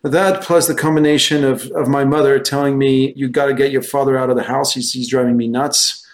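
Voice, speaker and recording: A middle-aged man from France, a guest answering interview questions in a relaxed way, often restarting his sentences. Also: mid-range voice, fairly quick. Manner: relaxed, with frequent restarts and fillers. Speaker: guest, research firm founder.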